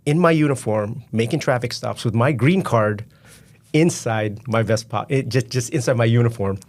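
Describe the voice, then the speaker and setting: A man in his fifties, from the Philippines, telling stories as a guest on a casual podcast, lively and quick to laugh, often repeating his words. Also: mid-range voice. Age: 50s. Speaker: guest, retired police officer. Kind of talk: casual interview storytelling.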